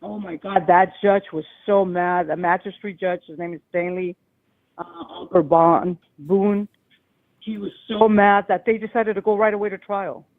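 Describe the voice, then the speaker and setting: A woman calls in over a tinny, thin phone connection, her loud voice pushing through a long, rambling, agitated story. The sound is dry and close, with no room around it. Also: medium pitch, steady tone, moderate pace, no room sound. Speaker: caller. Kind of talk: call-in show phone call.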